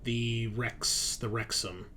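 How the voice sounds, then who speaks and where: A man narrating a tabletop roleplaying game as game master in a halting, informal, explanatory way. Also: mid-range voice, fairly even intonation, bright, forceful tone. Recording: clear background noise, room ambience.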